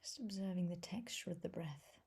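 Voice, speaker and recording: A woman leading a guided meditation as a teacher, speaking softly and gently in a breathy voice with long pauses between phrases. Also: fairly low pitch. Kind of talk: guided body scan meditation.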